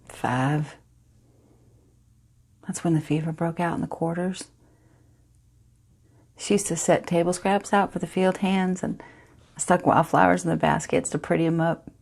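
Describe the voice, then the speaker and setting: A woman performing a stage monologue as a grieving enslaved teenage girl, telling her story in a hushed, halting way. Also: low-pitched, creaky, slightly rough, full character voice.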